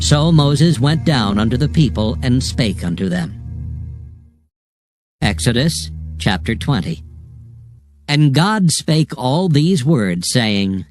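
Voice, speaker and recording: A man's voice: mid-range voice, big pitch swings, slow pace, pauses often. A man narrating a dramatized scripture reading with a solemn storytelling delivery. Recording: loud background music under the voice.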